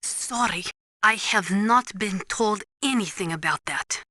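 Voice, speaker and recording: An actress playing a serious military weapons analyst in a video game, speaking in a precise, matter-of-fact way. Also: female voice, medium pitch, a little slow, bright, forceful tone, no room sound. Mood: apologetic, careful.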